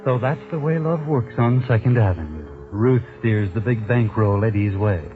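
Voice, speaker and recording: An actor playing a wry, knowing American narrator of a 1940s radio mystery, sardonically addressing the characters. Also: male voice, fairly low pitch, lively intonation, moderate pace, lightly acted. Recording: muffled 1940s radio recording. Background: music.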